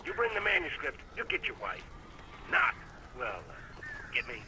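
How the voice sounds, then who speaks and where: An actor playing a menacing, taunting American kidnapper in a video game. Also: male voice, medium pitch, huge pitch swings, moderate pace, background noise. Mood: taunting menace. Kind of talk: villain acting in a video game.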